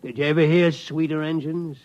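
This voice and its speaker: A man's voice: a little high, fairly even intonation, a little fast, darkish tone, natural voice. An actor playing a slick, folksy used-spaceship salesman with boastful, wheedling patter in a 1950s radio drama. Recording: muffled vintage radio recording.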